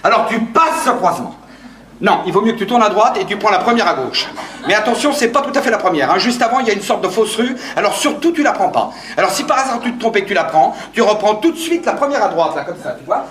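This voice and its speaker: An actor playing a sheepish, muddled brother in a theatrical French comedy, apologetic, hesitant and rambling. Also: male voice, sits high, big pitch swings, fast, a bit bright. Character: sheepish, muddled, flustered brother in a stage farce. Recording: echoey stage with audience sounds.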